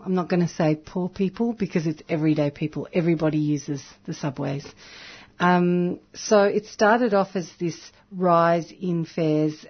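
A woman from Chile, a community radio broadcaster and activist, explaining things earnestly in a radio interview, with frequent ums. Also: lowish voice. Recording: dull lo-fi radio audio.

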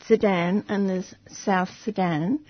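A woman's voice: medium pitch, fairly even intonation, slow, no echo, highs cut off. A woman presenting a community radio interview, asking short prompting questions.

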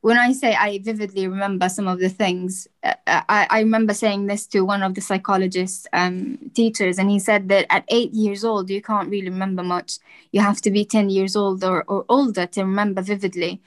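A woman's voice: mid-range voice, fairly even intonation, fairly quick. A young woman from Palestine answering interview questions earnestly, searching for words with restarts. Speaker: guest.

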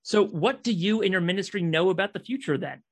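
Man acting a desperate, traumatised kidnapper, higher-pitched voice. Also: brisk, slightly rough. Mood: accusing, insistent. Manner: accusatory, insistent, clipped. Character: traumatised, desperate male kidnapper confronting his captive. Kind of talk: read radio drama dialogue.

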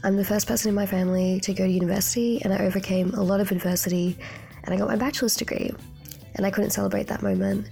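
A woman's voice: medium pitch, steady tone, fast, creaky, mellow, soft background music. A young woman, a postgrad student, speaking earnestly in a recorded voice note about hard times. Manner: reflective, earnest. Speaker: postgrad student and community advocate.

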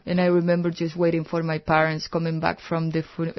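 A soft-spoken woman in her thirties with a Chilean Spanish accent talks reflectively as a guest on a radio chat. Her mid-pitched voice has a gravelly fry, and the sound is a bit dull and lo-fi. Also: monotone, brisk.

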